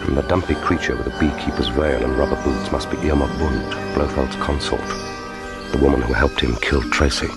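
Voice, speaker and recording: An actor playing a vengeful, sardonic lone secret agent thinking aloud in a radio play. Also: male voice, extremely low pitch, steady tone, a little fast. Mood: grimly watchful. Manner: muttering internal monologue, sardonic. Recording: loud music behind the voice.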